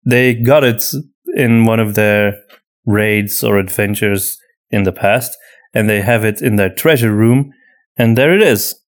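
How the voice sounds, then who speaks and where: A man's voice: fairly low pitch, a little slow. A man from Flanders with a Flemish accent, a native Dutch speaker, talking casually as a podcast co-host and repeating words as he thinks. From Flanders, Belgium. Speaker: podcast co-host and audio drama writer. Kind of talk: casual conversational Q&A.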